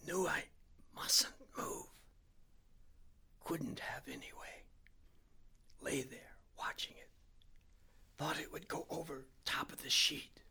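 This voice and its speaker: A man voicing a terrified man who lies frozen in bed, speaking in a slow, careful whisper. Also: fairly high pitch, huge pitch swings, sharp tone, slightly rough, voice pushed up, heavily acted. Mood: tense whisper.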